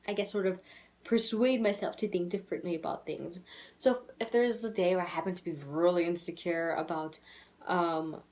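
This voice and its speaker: A young woman with a childish-sounding voice, talking candidly and rambling in a casual video letter to her twin, laughing softly at herself. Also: lowish voice. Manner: candid, rambling, self-deprecating with frequent soft laughs. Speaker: vlogger.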